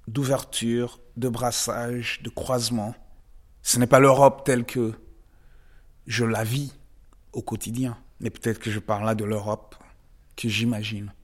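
A man's voice: medium pitch, level tone. Thoughtful man. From DR Congo. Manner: measured and thoughtful, pausing often, rising to emphatic stresses. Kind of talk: reflective interview answers.

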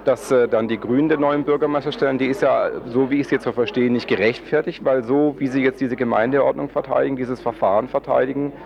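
A man's voice: mid-range voice, fairly even intonation, fast talker, gravelly, hardly any pauses, lo-fi sound, crowd noise. A man working as an interviewer, talking off the cuff in a wordy way and circling back over his points. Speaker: radio interviewer. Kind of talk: unscripted interview talk.

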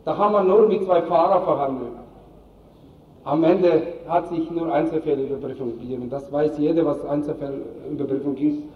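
A man speaking as an activist spokesman in non-native German, tired, making an impassioned speech in halting, emphatic short phrases. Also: a little high. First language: not German. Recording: echoey, distant, dull rally recording.

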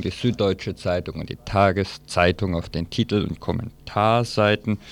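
Low-voiced man presenting a press review. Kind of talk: informal live press review, reading aloud with asides.

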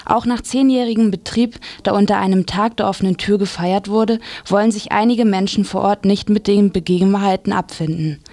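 A woman's voice: mid-range voice, steady tone, brisk, few pauses, warm tone, no room sound. Dry-sounding woman reading a radio report. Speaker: radio presenter. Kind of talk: scripted news introduction.

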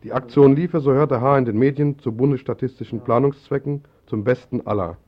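A male radio presenter with a mid-pitched voice, moving between announcements and scripted narration. The sound is dull and lo-fi, like a 1980s radio recording. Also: fairly quick. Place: radio studio.

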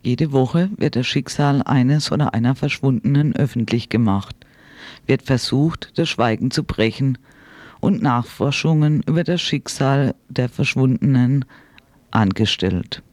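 A man's voice: mid-range voice, a little slow, a little mellow, no echo. A man reads a scripted radio report in a sober, formal manner. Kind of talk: scripted news narration.

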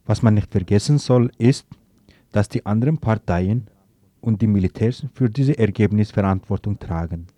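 A man's voice: lowish voice, normal speed, mellow, no room sound. A man reading a scripted political commentary on the radio, stumbling now and then over words.

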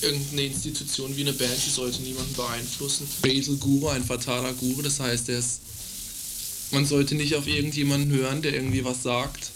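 A man's voice: medium pitch, little pitch movement, fairly quick, background noise. A man in his late teens, a band member, talking casually in an interview and searching for his words.

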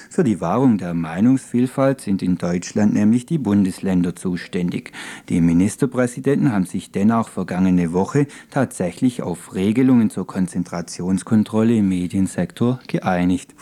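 A man's voice: lowish voice, dark tone. A man working as a radio journalist, presenting reports and putting interview questions.

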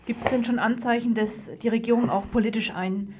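A woman's voice: on the high side, steady tone, fairly quick, darkish tone, breathy edge. A woman presenting and interviewing in a radio studio, informal and conversational in her address. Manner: conversational, probing follow-up questions.

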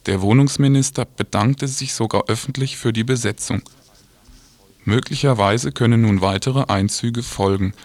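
A man's voice: medium pitch, normal speed, darkish tone, no echo. A man reading a scripted radio news report.

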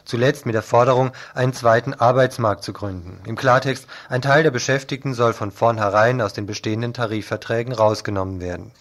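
A man's voice: medium pitch, fairly quick, hardly any pauses. A man presenting scripted radio news commentary in a pointed, critical manner.

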